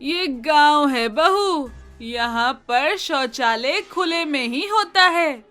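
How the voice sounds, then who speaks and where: A woman voicing a bossy, proud older village mother in an animated moral story, speaking emphatically. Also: sits high, takes it slowly, soft background music, exaggerated voice. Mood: brisk, insistent. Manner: emphatic, scolding, matter-of-fact village talk.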